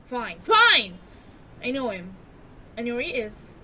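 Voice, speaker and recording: An actress playing a frightened but defiant informant in an audio drama, snapping and yelling. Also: female voice, on the high side, big pitch swings, unhurried, a little hiss or hum, exaggerated voice. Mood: panicked. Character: scared, defiant female street informant.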